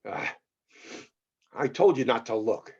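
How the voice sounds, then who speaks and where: A man's voice: mid-range voice, animated, slow pace, darkish tone, slightly rough, dull audio, heavily acted. A man playing an unhinged, menacing old producer who grumbles and commands in a screenplay table read.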